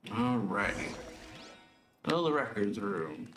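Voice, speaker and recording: A man casually commentating over his own gameplay in a relaxed, laid-back way. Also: lowish voice, slow pace. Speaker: gaming channel host. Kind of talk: let's play commentary. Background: game music.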